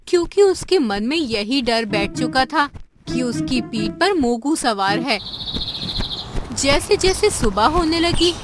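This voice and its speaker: A woman narrating a children's cartoon story in a deliberate storytelling manner. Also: sits high. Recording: narration over loud music.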